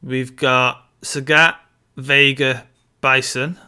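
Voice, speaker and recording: A man's voice: medium pitch, flat delivery, slow, bright, forceful tone, clean tone. A man co-hosting a podcast, talking casually about a film. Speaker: podcast co-host.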